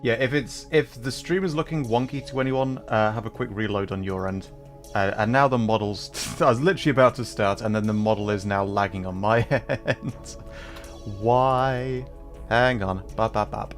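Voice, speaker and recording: A man's voice: on the low side, moderate pace. Casual male streamer over background music. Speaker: livestream host, gamer. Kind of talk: casual livestream chat.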